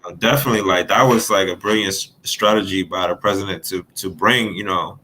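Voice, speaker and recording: A man's voice: low voice, steady tone, a little fast, sharp tone, slight background noise, poor audio. A man hosting a casual interview, chiming in often with agreement and sharing his own views. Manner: affirming, with many interjected agreements. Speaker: host.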